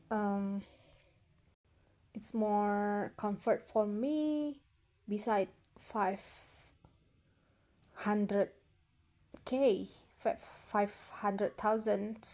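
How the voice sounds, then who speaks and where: Young woman, Indonesian accent, very slow and halting, mellow voice, lots of fillers. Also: medium pitch. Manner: halting, searching for words, many fillers and restarts. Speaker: vlogger. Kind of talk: casual storytelling to camera.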